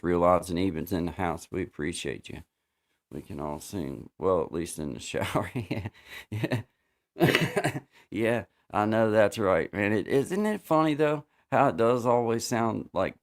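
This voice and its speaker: A man with a very low, gravelly voice hosts a relaxed livestream chat. He rambles, repeats words and fills pauses with "uh" as he talks to viewers. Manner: rambling, repeats himself, many fillers. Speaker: livestream host.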